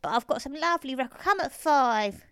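A woman voicing a deaf, mischievous man of over a hundred in a story, blunt, slow and loud. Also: sits high, lively intonation, dry recording, studio quality, pitched above the performer's own voice. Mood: sociable, mischievous. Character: deaf, wickedly mischievous 102-year-old man, blunt and stubborn.

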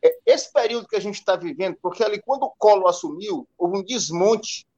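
A man co-hosts a live online talk and asks long, halting questions full of restarts and fillers. His voice sits fairly high, and the audio sounds muffled and lo-fi. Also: darkish tone. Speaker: co-host interviewer. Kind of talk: live stream interview questions.

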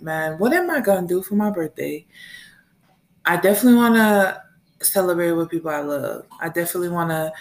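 An American woman in her late twenties, a mother and podcast host, talking through her week in a rambling, animated solo chat and laughing often. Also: lowish voice. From United States. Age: late 20s. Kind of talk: casual solo monologue.

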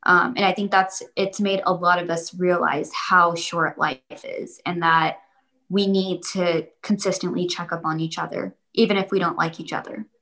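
A woman's voice: fairly low pitch, steady tone, normal speed, sharp tone, rough recording. A young woman answering interview questions casually, rambling and repeating herself with many fillers.

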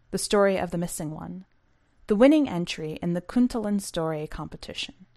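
A woman presenting a literary podcast, reading and speaking in a measured narrating style. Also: fairly low pitch, darkish tone. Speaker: podcast host and editor reading a story.

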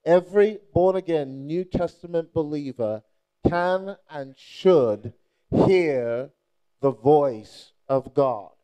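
A man's voice: on the high side, takes it slowly, dark tone, clear, resonant tone, poor audio. A young adult man from Nigeria preaching a playful, charismatic sermon and rousing the crowd with call-and-response.

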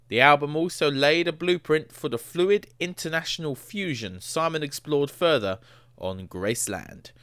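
A man's voice: mid-range voice, fairly bright tone, dry recording. Playful teasing man on a podcast. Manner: playful, teasing, quick to laugh. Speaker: podcast co-host. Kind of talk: casual chat.

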